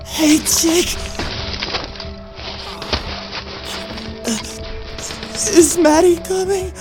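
An actress playing a frail, simple, devoted young boy in an audio drama, speaking in a halting, childlike way. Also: very high voice, fairly even intonation, over music. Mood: desperate. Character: frail, devoted young boy, slow-witted little brother.

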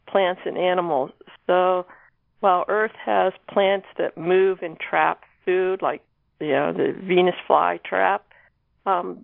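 A woman's voice: fairly low pitch, creaky, no room sound. A woman author being interviewed, talking casually about her own work. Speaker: guest author discussing own novel. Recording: narrow phone-like line.

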